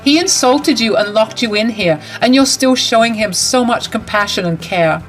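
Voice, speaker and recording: A woman plays a protective young bride with a raised, lively voice, brisk and firm and quick to indignation. Faint music runs underneath. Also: on the high side, close to an everyday voice.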